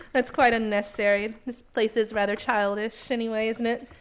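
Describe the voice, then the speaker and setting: Woman acting a brisk, high-voiced bold young lady. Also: little pitch movement, clean tone, close to an everyday voice. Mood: arch, teasing. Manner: brisk, arch, self-possessed. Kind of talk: radio play acting.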